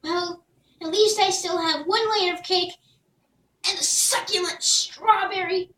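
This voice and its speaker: A woman's voice: extremely high pitch, fairly even intonation, measured pace, bright, forceful tone, pitched above the performer's own voice, full character voice. A woman voicing an earnest young boy in a storybook read-aloud, talking eagerly. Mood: hopeful. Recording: roomy, distant mic.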